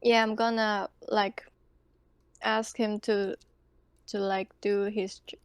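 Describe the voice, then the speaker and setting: Slow, tentative woman, dry sound. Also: mid-range voice, level tone, smooth, dry recording. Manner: tentative, halting. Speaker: player in a tabletop game. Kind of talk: casual chat. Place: online voice call.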